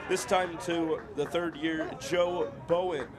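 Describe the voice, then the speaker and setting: A young American man doing brisk, chatty play-by-play commentary on a student football match. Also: a little high, steady tone. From United States. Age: young adult. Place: outdoor football ground. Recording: live outdoor broadcast with loud crowd. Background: loud crowd noise.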